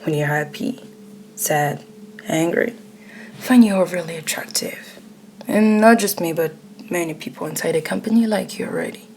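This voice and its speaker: A woman voicing a dominant, flirty, possessive male CEO in a breathy, often whispered, slow and smug manner for an ASMR romance roleplay. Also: fairly low pitch, a little mellow, a little hiss or hum, lightly acted. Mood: seductive.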